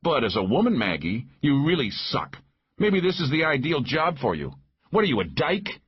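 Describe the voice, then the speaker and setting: A man's voice: medium pitch, huge pitch swings, moderate pace, husky, no room sound, muffled recording, pitched above the performer's own voice, full character voice. Guy playing a nasty taunting man, audiobook, voice pushed up, lots of swing. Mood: taunting, contemptuous. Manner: taunting, contemptuous. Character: sneering, insulting hostile man. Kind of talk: audiobook character voice.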